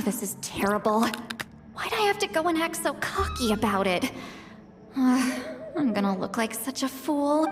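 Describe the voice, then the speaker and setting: Woman as a brash, animated high priestess granny. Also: close to an everyday voice. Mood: dismayed. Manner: brash, teasing, blunt. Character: cocky, mischievous high priestess granny, bluntly affectionate. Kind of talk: video game voice acting. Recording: studio voice over game music.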